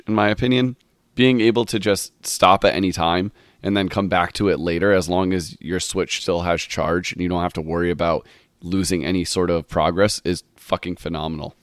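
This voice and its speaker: Deep-voiced man with a stuffy cold. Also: dry recording, clean studio sound. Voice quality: a little nasal. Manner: rambling, many "like" fillers. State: recovering from a cold, voice partly lost. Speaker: podcast host. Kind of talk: solo podcast intro, casual chat.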